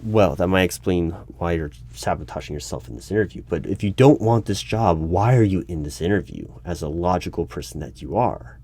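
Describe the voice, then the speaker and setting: A man's voice: low-pitched, fairly quick, few pauses, a little mellow. A man playing an exasperated, bureaucratic human resources manager in a one-sided interview roleplay, hesitating and stammering when flustered. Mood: exasperated, officious. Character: exasperated, officious human resources hiring manager at secretive agency. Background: computer keyboard typing.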